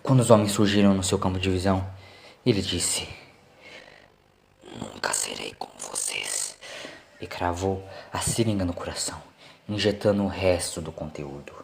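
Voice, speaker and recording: A man's voice: low-pitched, fairly even intonation, brisk, a little mellow, a little hiss or hum. A man with a Brazilian accent reading a scripted dramatic story as narrator. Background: faint music.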